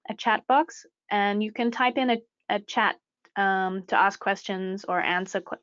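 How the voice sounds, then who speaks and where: A woman's voice: medium pitch, no echo. A woman hosting a webinar, introducing the speaker politely with frequent ums. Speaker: webinar host. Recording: dry, dull lo-fi webinar audio.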